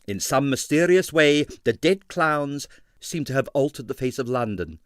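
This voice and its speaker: A man narrating a comic detective novel as an audiobook reader, in a measured, dramatic storytelling delivery with emphatic phrasing. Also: mid-range voice, expressive, no echo, studio quality.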